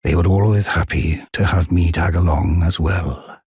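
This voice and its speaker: A man playing a huge, courteous old giant blacksmith in a fantasy video game, with a deep, husky, weathered voice and measured, old-fashioned phrasing. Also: fairly even intonation, a little mellow, no room sound, clean studio sound. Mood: thoughtful, measured. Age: older.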